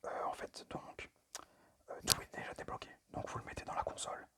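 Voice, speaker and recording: A man whispers in a breathy, halting, apologetic way, presenting items in an amateur ASMR style. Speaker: amateur ASMR video creator.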